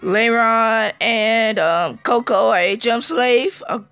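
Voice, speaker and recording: A man with a sore, hoarse voice talks over his gameplay slowly and haltingly, filling gaps with ums and clearing his throat. The sound is dry and close, picked up on a phone. Faint game music plays behind him. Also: very high-pitched, bright, forceful tone, no echo. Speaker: let's play gamer. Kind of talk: casual gameplay commentary.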